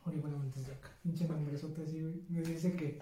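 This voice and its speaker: Young Mexican male co-host, higher voice, joking and slangy, echoey noisy room. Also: fairly even intonation, brisk, warm tone. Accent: Mexican Spanish. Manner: joking, slangy, says güey constantly. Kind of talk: casual chat. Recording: echoey room with clear background noise.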